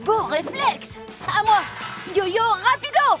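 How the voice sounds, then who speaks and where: A bold little kid superhero with a very high, energetic voice. The delivery is urgent and emphatic, cartoon style, with loud music underneath. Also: female voice, slow, exaggerated voice. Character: bold, energetic young child superhero leading the team. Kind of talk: cartoon dub acting.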